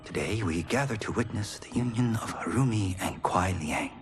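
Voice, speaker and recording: An actor playing an earnest, nervous young warrior in a video game, formal and a little stiff. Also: male voice, fairly low pitch, normal speed, close to an everyday voice. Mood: solemn. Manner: formal, sincere, slightly stiff. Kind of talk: dramatic video game cutscene acting. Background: music.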